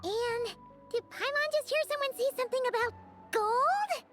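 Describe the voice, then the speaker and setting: A woman voicing a tiny, excitable childlike fairy companion in a video game, exclaiming and referring to herself in the third person. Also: very high-pitched, moderate pace, soft background music. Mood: excited, curious. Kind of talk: video game character dialogue.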